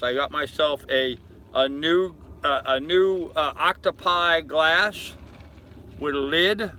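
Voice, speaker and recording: An older man with a very bright, sharp voice that sits a little high hosts a casual live stream. He rambles from one viewer comment to the next, talking to people like old pals. Faint ambient engine noise sits behind him aboard his boat. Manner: rambling, addresses viewers familiarly as brother and man.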